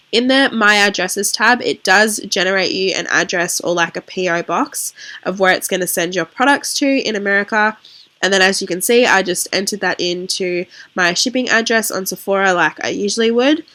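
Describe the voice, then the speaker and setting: A woman's voice: medium pitch, sharp tone. A young Australian woman with a congested, nasal voice, chatting casually through a makeup review and peppering her talk with "like". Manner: chatty, filler-heavy with frequent "like". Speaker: beauty vlogger.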